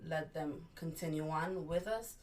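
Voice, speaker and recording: A young woman from Miami talks casually as a podcast guest, chatty and quick to jump in. Her voice is low for a woman. She sits away from the microphone, with faint background noise in the room. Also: darkish tone, far from the mic. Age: young adult. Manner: chatty, finishes her partner's sentences. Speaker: business co-founder, guest. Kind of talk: casual interview. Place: university library.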